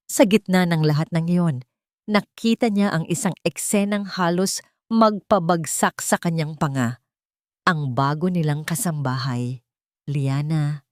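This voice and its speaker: A woman's voice: sits low, a little mellow, dry recording, clean studio sound. A woman reading a scripted dramatic story as its narrator.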